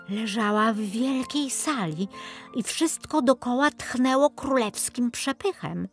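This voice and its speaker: A woman narrating a scripted children's fairy tale as an expressive storyteller. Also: mid-range voice. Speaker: main narrator.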